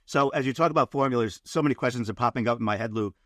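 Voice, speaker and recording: A man hosts a podcast interview and asks his questions in a loose, conversational way. His voice sits in a middle pitch and sounds close and dry, with no room around it. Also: rapid-fire, fairly bright tone, dry recording. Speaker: podcast host.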